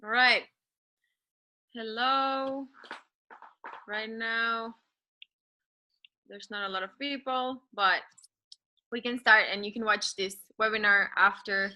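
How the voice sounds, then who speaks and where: A woman's voice: a little high, takes it slowly, long pauses, bright, forceful tone, highs cut off. A woman in her twenties presenting a webinar in an informal, giggly manner.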